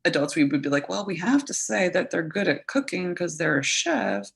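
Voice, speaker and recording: A woman's voice: lowish voice, animated, normal speed, vocal fry. A woman in her thirties, a guest coach talking earnestly and animatedly in a casual podcast conversation, full of fillers.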